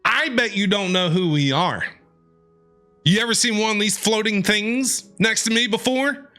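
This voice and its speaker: Jokey woman streamer heckling the game, bright voice, music under it. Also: mid-range voice, unhurried. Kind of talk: casual reaction commentary.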